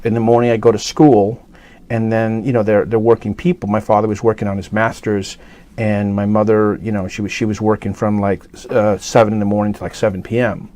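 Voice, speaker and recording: A man's voice: on the low side, level tone, a little fast, warm tone. A middle-aged man from New York City talking casually as an interview guest, rambling with many fillers and restarts. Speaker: guest actor.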